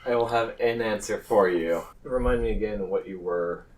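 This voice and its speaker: A man narrating a tabletop roleplaying game as game master, pausing between phrases. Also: fairly low pitch, distant mic, rough recording.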